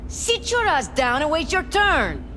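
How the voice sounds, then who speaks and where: A woman's voice: sits high, huge pitch swings, unhurried, bright, forceful tone, a little hiss or hum, exaggerated voice. A woman playing an irritable, brassy clinic patient in a video game, snappish with anyone around her. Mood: irritated. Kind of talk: video game character acting.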